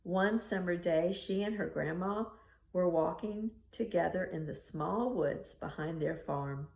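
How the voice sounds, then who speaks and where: A woman reading a children's picture book aloud in an expressive storytelling style. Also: on the low side, unhurried, vocal fry. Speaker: storybook reader. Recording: roomy, echoing room sound.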